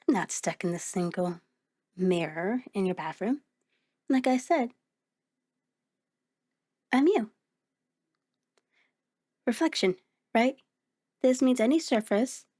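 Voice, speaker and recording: A woman voicing a kind, playful mirror reflection in an ASMR roleplay, speaking gently and encouragingly with hesitant pauses. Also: mid-range voice, breathy edge, heavily acted. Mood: lighthearted, chatty. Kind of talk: ASMR roleplay, addressing the listener.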